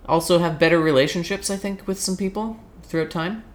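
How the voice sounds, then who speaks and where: A man's voice: high-pitched, brisk. A man with a Canadian accent giving casual, joking and somewhat hesitant answers in an interview. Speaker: podcast guest.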